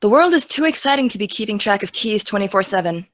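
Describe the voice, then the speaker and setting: A young American woman playing an excitable, teasing teenage aspiring journalist in naturalistic, casual audio drama dialogue. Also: medium pitch, quick pace, no room sound, studio quality, lightly acted.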